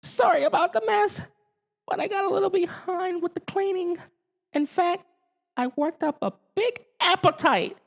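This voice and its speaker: Very squeaky, brash little alien. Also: male voice, normal speed, creaky. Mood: brash, cheerful. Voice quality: very high, squeaky. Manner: exclamatory, complaining. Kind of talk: children's storybook character voice.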